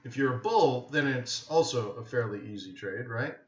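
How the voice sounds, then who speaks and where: A man's voice: medium pitch, expressive, moderate pace. A man hosting a live webinar with casual, offhand commentary, thinking aloud and often checking in with "right?". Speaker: host of a live trading webinar. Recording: dull, roomy, echoey webinar audio.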